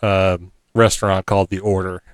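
A man co-hosting a casual show, who explains things carefully and teases his partner. His voice is low, smooth and resonant. The sound is close and dry. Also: level tone, moderate pace, no room sound. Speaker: podcast co-host. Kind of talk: casual chat.

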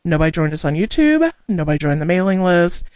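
A woman from Southwest Missouri with a local accent, co-hosting a podcast, chatting casually, laughing often and rushing through lists of names. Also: fairly low pitch, very animated, a little mellow, no room sound, clean studio sound. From Southwest Missouri, USA. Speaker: podcast co-host. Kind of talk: casual chat and reading listener names.

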